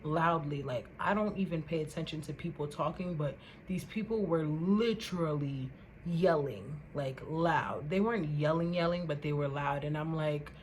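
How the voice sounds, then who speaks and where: A young woman vlogger with a loud voice, ranting casually to the camera in an animated, indignant way and repeating words for emphasis. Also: low voice, normal speed, slight background noise.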